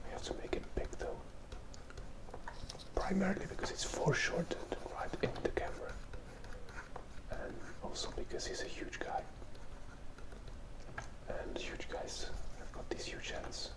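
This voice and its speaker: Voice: measured pace, pauses often, rough recording. An artist whispering a hesitant, self-deprecating, light-hearted ASMR commentary while drawing, close to the microphone. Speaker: digital artist hosting a drawing video.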